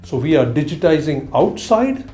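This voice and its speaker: A man in his fifties gives interview answers in a soft, mellow tone. He speaks slowly and haltingly, choosing words with care. The office gives a noticeable room echo, and music plays underneath. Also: fairly high pitch, big pitch swings. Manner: halting, careful phrasing. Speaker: bank technology executive. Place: small office, indoors.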